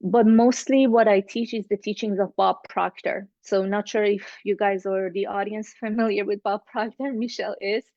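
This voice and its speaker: A woman's voice: mid-range voice, fairly even intonation, moderate pace, few pauses, a little mellow, no echo. A woman with a Middle Eastern accent speaks earnestly as a mindset coach, repeating key words to drive them home. English is not her first language. Her voice comes through dry, muffled video-call audio. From Middle East. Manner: earnest, motivational, repeats for emphasis. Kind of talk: online networking circle pitch.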